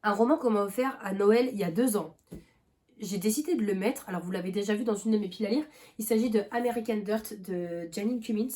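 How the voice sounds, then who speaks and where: A woman's voice: medium pitch, a little fast, mellow. A young woman vlogging about books in a loose, rambling chat, full of fillers and restarts, with a cough now and then. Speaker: book vlogger. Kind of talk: casual chat.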